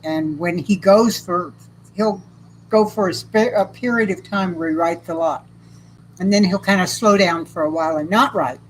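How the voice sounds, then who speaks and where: A woman hosting a casual chat, speaking with a loud, big voice while chiming in and prompting her co-host. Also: on the low side, normal speed, a little hiss or hum, low-quality recording.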